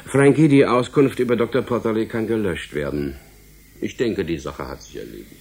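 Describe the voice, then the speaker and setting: A man's voice: lowish voice, normal speed, a little mellow, husky. A man playing a courteous, evasive middle-aged department head in a radio drama, explaining things in a polite, officious way. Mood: brisk.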